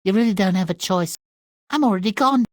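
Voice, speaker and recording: Guy doing a snarky bitter fairy tale girl, high voice, deadpan insults, dark comedy game. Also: a little fast, dry recording, studio quality, natural voice. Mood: sardonic. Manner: deadpan, acid, articulate insults. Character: bitter, sardonic young woman, contemptuous fairy-tale heroine. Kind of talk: dark comic video game cutscene acting.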